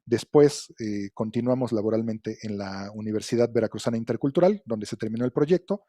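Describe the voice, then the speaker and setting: Middle-aged male lecturer, dark mellow tone, deliberate explanatory delivery, dry sound. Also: on the low side, dry recording. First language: Spanish. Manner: measured, explanatory, with frequent fillers and "¿no?" tags. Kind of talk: academic lecture.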